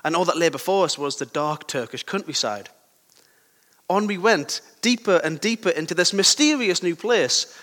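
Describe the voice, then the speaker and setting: A young man with a sore throat and a slightly croaky voice, giving a conversational sermon with personal stories and questions to his listeners. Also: high-pitched, animated, moderate pace, a bit bright. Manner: conversational, self-deprecating, asks the listeners questions. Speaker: preacher. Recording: long hall reverb.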